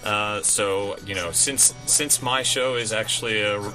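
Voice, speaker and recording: A man's voice: lowish voice, unhurried, music in the background, poor audio. A man, a radio drama performer, explaining in a rambling, filler-heavy casual chat.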